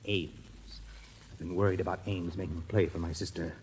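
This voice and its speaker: An actor playing a bewildered, rattled gentleman with halting delivery in a 1940s radio drama. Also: sits low, fairly even intonation, close to an everyday voice. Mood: bewildered, worried. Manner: halting, stammering. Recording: faintly noisy old radio recording.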